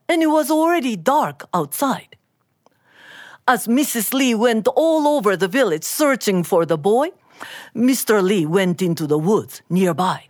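A woman telling a folktale live, in an expressive, deliberate and dramatic manner. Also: medium pitch, no room sound, studio quality. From Japan. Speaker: storyteller. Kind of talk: live oral storytelling of a folktale.

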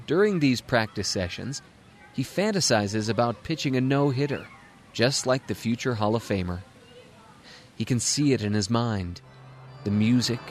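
A man's voice: medium pitch, noisy background. A man narrating a scripted dramatic story as its storyteller. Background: music.

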